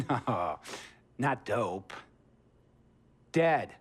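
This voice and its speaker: A middle-aged sports team owner in a video game scene. He is theatrical and preachy, leaning hard on his words and moving between hushed and forceful delivery, with a medium-pitched voice. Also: very animated, extremely slow, slightly rough, heavily acted. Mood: grave, emphatic. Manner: theatrical, preachy, emphatic, swinging from hushed to loud. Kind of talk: video game cutscene acting, dramatic storytelling monologue.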